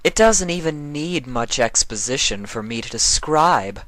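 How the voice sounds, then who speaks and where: A man's voice: mid-range voice, lively intonation, a little slow, a bit bright, no room sound, pitched above the performer's own voice. A man voicing a regal, villainous female winged unicorn with a condescending, theatrically mocking manner. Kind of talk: fan fiction character voice.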